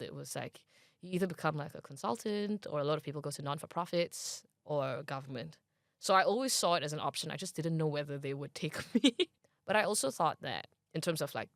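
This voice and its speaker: A young woman with a Malaysian accent speaks in a casual interview. Her voice has a gravelly edge, and she is recorded dry and close. She rambles in a chatty way, full of fillers and restarts as she thinks aloud. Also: low-pitched, no echo. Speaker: interview guest.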